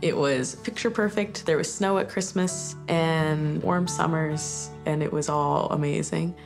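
Young woman from Maine, low creaky voice, unhurried reflective interview over plucked guitar music. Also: fairly even intonation. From Maine, United States. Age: young adult. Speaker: contestant telling own story.

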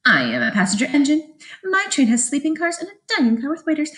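A woman doing a proud, stuck-up passenger engine from a storybook, haughty and dismissive toward the little train. The voice is high and swings widely in pitch. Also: wildly swooping pitch, fast, fairly bright tone, heavily acted.

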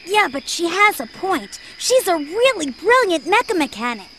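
A woman's voice: extremely high pitch, very animated, normal speed, fairly bright tone, a little hiss or hum, full character voice. A woman voicing an earnest, eager young boy fox mechanic with a childlike voice in a video game. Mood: earnest resolve.

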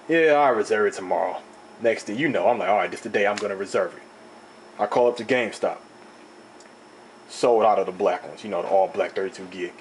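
A young man with a slightly raspy voice, rambling and animated as he talks through an unboxing on his own channel, recorded indoors on a camera mic. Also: fairly low pitch, a little hiss or hum, poor audio. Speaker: video host. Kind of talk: casual unboxing talk. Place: indoor room, table top.

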